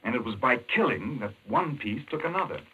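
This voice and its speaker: An actor playing an intense, urgent doctor in a 1940s American radio mystery, explaining things emphatically. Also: male voice, mid-range voice, animated, brisk, pushed and bright, slightly rough. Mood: fascinated, grave. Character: intense, obsessed male chess-playing doctor explaining a madman's scheme. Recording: muffled 1940s radio recording.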